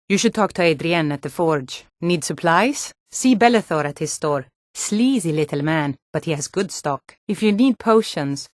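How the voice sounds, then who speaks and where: A woman's voice: deep voice, no room sound, studio quality. An actress voicing a hardy, no-nonsense Nord townswoman and guard in a fantasy video game, with a firm, plain-spoken delivery. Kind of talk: scripted fantasy game dialogue.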